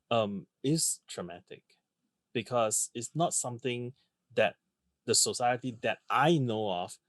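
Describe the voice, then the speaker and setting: A middle-aged man from Singapore, a podcast panelist talking in a halting way with many ums and restarts. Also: medium pitch, no room sound. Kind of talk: casual discussion.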